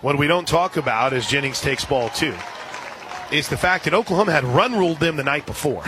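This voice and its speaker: Higher-voiced man calling softball over crowd noise. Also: expressive, fairly quick. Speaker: radio play-by-play softball announcer. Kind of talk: live play-by-play sports commentary. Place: outdoor softball ballpark broadcast position. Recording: crowd noise, noisy outdoor ballpark broadcast. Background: ballpark crowd and ambient noise.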